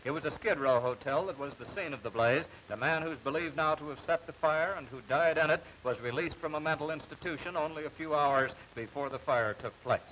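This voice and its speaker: An American man anchoring a television news report, reading scripted news in a formal, measured 1950s announcer style. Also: fairly high pitch, fairly bright tone. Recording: noisy 1950s television broadcast recording.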